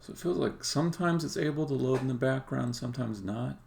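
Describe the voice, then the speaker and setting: A man's voice: medium pitch, brisk, gravelly, darkish tone. A man casually thinking aloud as he live-codes a game, muttering to himself in short fragments. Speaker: game developer streaming live coding. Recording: background keyboard typing and clicking noise. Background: computer keyboard typing, mouse clicks.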